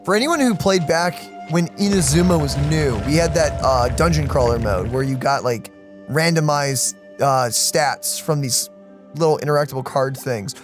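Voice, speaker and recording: A man's voice: on the high side, moderate pace, soft background music. A man co-hosting a let's play in casual, chatty, opinionated gaming talk.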